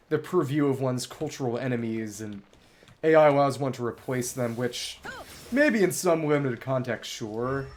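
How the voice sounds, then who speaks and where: A man's voice: medium pitch, slight background noise. A man livestreaming a game, thinking aloud in bookish wording as he chats casually with viewers and wanders between topics. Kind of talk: casual gameplay commentary, chatting with viewers.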